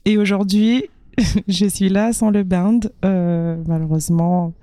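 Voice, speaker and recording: A woman singer and musician from France, interviewed, speaking hesitantly with many pauses and fillers. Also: mid-range voice, little pitch movement, warm tone, no room sound, clean studio sound. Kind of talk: casual interview.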